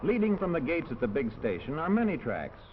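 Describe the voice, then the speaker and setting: High-pitched, animated 1940s film narrator, man. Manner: clear, measured, instructional delivery. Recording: muffled old film soundtrack with hiss.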